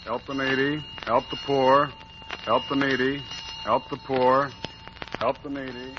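Guy doing a street corner santa droning for coins, flat chant, loud hiss, dull old radio. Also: medium pitch, a little slow, voice pushed down, natural voice. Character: male street-corner Santa collecting for charity. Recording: dull 1940s radio broadcast, loud noise.

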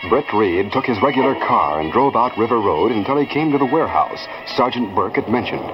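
Low-voiced vintage radio narrator, a man. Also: animated, brisk. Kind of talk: 1940s radio drama narration. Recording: muffled old radio with loud music.

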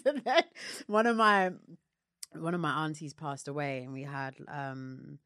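A woman's voice: deep voice, a bit bright, no room sound, clean studio sound. A woman co-hosting a podcast, chatting casually and telling long, laughing stories. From London. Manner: chatty, laughs often, drawn-out storytelling. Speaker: podcast co-host and DJ. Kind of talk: casual chat.

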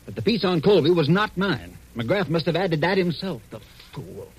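Husky, high-strung, sneaky ghostwriter. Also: male voice, lively intonation, moderate pace. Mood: bitter, evasive. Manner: flustered, resentful, evasive. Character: nervous, bitter, sneaky male ghostwriter. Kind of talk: old-time radio drama acting. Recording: vintage radio recording.

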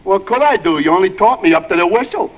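An actor playing a dim-witted, naive, lovelorn sidekick in a 1940s radio comedy. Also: male voice, sits high, expressive, fast. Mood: naive, wistful. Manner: dopey, naive, starts with a stray "duh"-like word. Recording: muffled, lightly noisy old radio recording.

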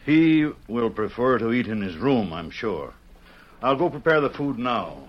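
A gentle, formal padre of a frontier mission, with a mid-pitched voice. It sounds like a vintage radio western, muffled and faintly noisy. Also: male voice, very animated, measured pace. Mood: hospitable. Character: kindly, cautious mission priest. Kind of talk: old-time radio western acting. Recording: dull vintage radio recording, faint noise.

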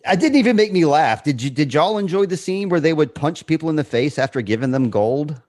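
A man's voice: a little high, lively intonation, fairly quick, a little mellow, no echo, studio quality. A man hosting a podcast, recapping a film in casual chat and laughing often. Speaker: podcast host.

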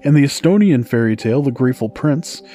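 A man's voice: medium pitch, animated, quick pace, warm tone. A man hosting a podcast, narrating folktales from a script in a storytelling style. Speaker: podcast host. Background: music.

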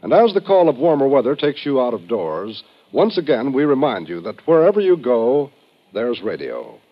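A man's voice: medium pitch, big pitch swings, moderate pace. An American man reading scripted announcements in old-time radio style. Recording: dull vintage radio broadcast. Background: music.

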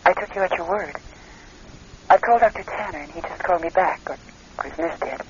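An actress playing an anxious, loving wife in a radio drama, pleading and tender with halting speech. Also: female voice, on the low side, close to an everyday voice. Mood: hesitant, concerned. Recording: muffled, hissy old radio recording.

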